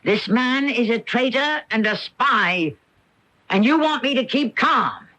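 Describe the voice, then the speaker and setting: A woman's voice: a little high, expressive, slow pace, sharp tone, heavily acted. An actress playing an agitated, insistent woman in a radio drama, speaking urgently and emphatically. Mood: indignant, urgent. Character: agitated, insistent woman alarmed about a traitor. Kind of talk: 1980 radio drama acting. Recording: dull lo-fi old radio broadcast.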